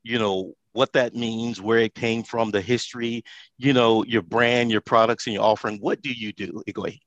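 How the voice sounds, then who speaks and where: A man's voice: mid-range voice, fairly even intonation, moderate pace, a bit bright, no echo, highs cut off. Enthusiastic rambling male host, dry sound. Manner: enthusiastic, rambling, lots of fillers and repeated words. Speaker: interview host. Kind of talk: casual interview chat.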